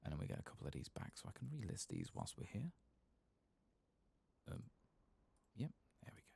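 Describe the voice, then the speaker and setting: A tired man giving soft-spoken, partly whispered ASMR commentary on a game, murmuring unhurried asides to himself. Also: on the low side, wildly swooping pitch, gravelly, breathy edge. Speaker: ASMR gaming streamer.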